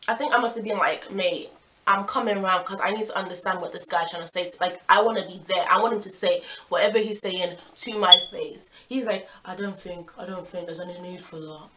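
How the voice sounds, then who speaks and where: A woman's voice: medium pitch, fairly quick, bright, forceful tone, a little hiss or hum, low-quality recording. Young woman telling a dramatic story. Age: young adult. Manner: slangy, dramatic, quotes people with exasperated emphasis. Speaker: storytelling vlogger. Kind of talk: casual personal storytime, acting out dialogue.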